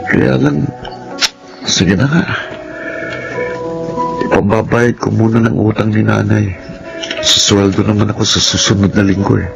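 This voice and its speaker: Radio drama lead: earnest, self-sacrificing student son, creaky voice over clear music. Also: male voice, fairly low pitch, rough recording.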